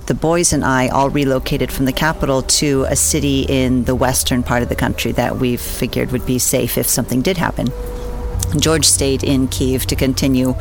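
American woman in her 40s, earnest steady storyteller, kinda gravelly. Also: rumbling low. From United States. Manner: earnest, steady, detailed recounting. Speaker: missionary guest. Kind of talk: interview storytelling.

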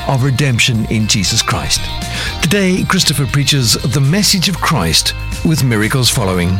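Male talk show host, expressive pitch, scripted intros with a music bed. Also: moderate pace. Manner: scripted introductions, short affirmations. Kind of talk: radio talk show hosting.

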